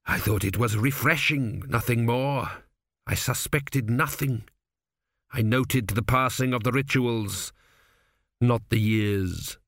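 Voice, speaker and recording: A man voicing a very old high priest in an audiobook, calm, grave and measured, with quiet authority. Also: gravelly, no room sound, studio quality. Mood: weary regret. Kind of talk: audiobook character voice.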